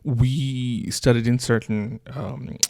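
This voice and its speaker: A male podcast host with a gravelly, creaky voice at an average pitch. His accent blends Bangladeshi, American, British and Australian. He talks casually and a little hesitantly, poking fun at himself. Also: steady tone, takes it slowly, mellow, no echo. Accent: hybrid of Bangladeshi, American, British and Australian. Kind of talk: casual conversational interview.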